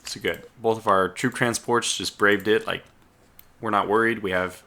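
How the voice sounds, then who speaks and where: Hoarse man muttering through a strategy game. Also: fairly low pitch, steady tone, normal speed, bright, forceful tone. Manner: muttering to himself while playing. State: losing his voice, clearing his throat. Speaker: let's play host. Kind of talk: casual gameplay commentary.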